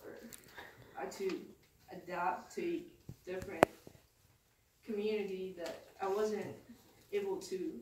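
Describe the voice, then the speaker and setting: Teen girl giving a talk, slow and hesitant, echoey room, faint hiss. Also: medium pitch, steady tone, recorded from a distance. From Philippines. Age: teens. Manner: halting, hesitant, with many restarts. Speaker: student speaker. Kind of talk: personal testimony speech. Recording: echoey room, mic from afar, faint noise.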